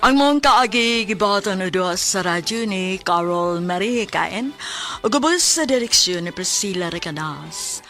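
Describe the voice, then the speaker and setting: A woman's voice: medium pitch, animated, measured pace, music in the background. A woman with a Cebuano accent reading scripted narration as the announcer of a radio drama.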